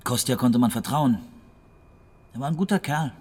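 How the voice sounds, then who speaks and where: An actor playing a matter-of-fact, world-weary underworld go-between in a radio drama, blunt and resigned. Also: male voice, medium pitch, normal speed, a little mellow, husky, close to an everyday voice. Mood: cynical, resigned. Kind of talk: German radio drama acting.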